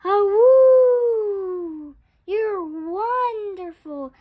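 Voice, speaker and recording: A woman's voice: extremely high pitch, very slow, narrow, tinny audio, pitched above the performer's own voice, heavily acted. A woman voicing a male big bad wolf from a storybook, forthright and friendly underneath. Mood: excited howling.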